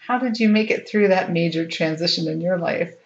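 A woman's voice: fairly low pitch, a little fast, echoey room, dull audio. A woman interviewing a guest, asking attentive and encouraging questions.

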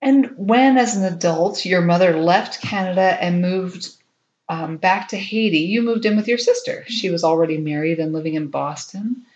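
A woman interviewer asks attentive, encouraging questions in a slightly low voice. The sound is roomy and a bit muffled. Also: normal speed, darkish tone.

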